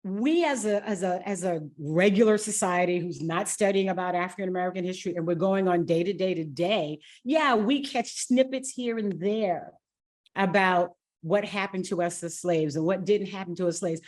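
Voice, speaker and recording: A woman hosting a podcast interview in a conversational, emphatic and expressive way. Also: lowish voice, normal speed. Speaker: podcast host.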